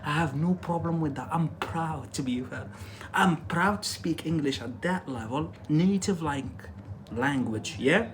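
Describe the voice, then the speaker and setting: A man's voice: on the high side, a little slow, a little hiss or hum. Emphatic man teaching English live. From Algeria. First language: Algerian Arabic. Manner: emphatic and repetitive, keeps checking in with "yeah?" and "that's it". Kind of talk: casual live-stream lesson.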